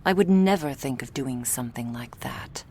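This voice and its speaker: A woman voicing a guarded, deferential female vampire bodyguard in an ASMR roleplay, speaking in a flat, dutiful manner. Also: extremely low pitch, moderate pace, breathy edge, heavily acted.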